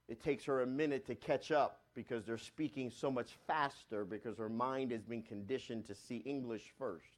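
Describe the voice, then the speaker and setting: A man pastor preaches at an even middle pitch. His delivery surges loud and high on key points, then sinks into quiet, low asides. He restarts and repeats phrases as he goes. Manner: swells louder on key points and drops low and soft on asides. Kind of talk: sermon.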